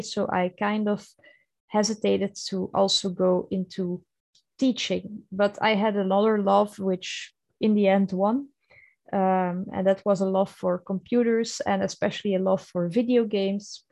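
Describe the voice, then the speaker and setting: A young woman from Belgium with a Flemish accent, Dutch being her first language, speaks to her podcast listeners. She talks in a chatty, earnest way, full of little fillers and restarts. Her voice sits at a middle pitch, and the recording is dry and close. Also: gravelly, darkish tone, no echo. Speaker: podcast host. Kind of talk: casual solo podcast monologue.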